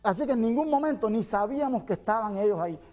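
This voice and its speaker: A man's voice: sits high, lively intonation, quick pace, phone-quality sound. A man with a Cuban accent speaking as a guest in a heated panel debate, insistent and defensive.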